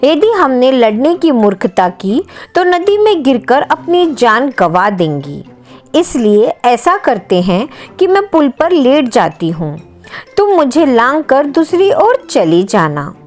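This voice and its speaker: A sensible nanny goat from a children's storybook, voiced by a woman narrator. She reasons peaceably and slowly, her fairly high voice rising and falling, with music behind. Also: sing-song, darkish tone, heavily acted. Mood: calm reasoning. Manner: reasoning, conciliatory. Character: sensible, peaceable female goat offering a compromise.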